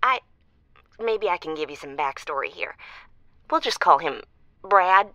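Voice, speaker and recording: A woman's voice: on the low side, expressive, measured pace, sharp tone, no room sound, dull audio. An actress playing a chatty, jilted small-town woman calling a radio advice show, earnest and a little folksy. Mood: hesitant, confiding.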